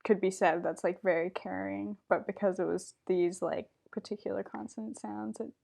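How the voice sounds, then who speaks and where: Female guest, casual and relaxed, some vocal fry. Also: mid-range voice, normal speed. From Czech-speaking home. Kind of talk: casual chat.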